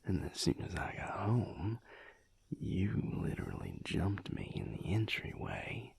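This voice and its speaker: A man playing a laid-back, affectionate vampire lover in an intimate audio roleplay, with a Southern US drawl and a breathy, gravelly murmur, teasing lazily. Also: low voice, huge pitch swings, measured pace, darkish tone, in a whisper, full character voice. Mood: relaxed gossipy. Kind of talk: intimate audio roleplay, whispered pillow talk.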